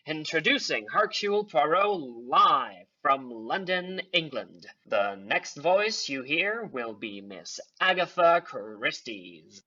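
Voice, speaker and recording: A theatrical old-time radio announcer with a bright, forceful voice. The delivery is very slow and lively in pitch, on a slightly dull recording.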